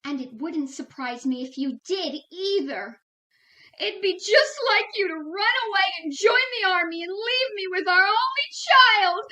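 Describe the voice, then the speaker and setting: A woman acting as an excitable, easily indignant housewife in an old-time radio comedy. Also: very high voice, unhurried, heavily acted, rough recording.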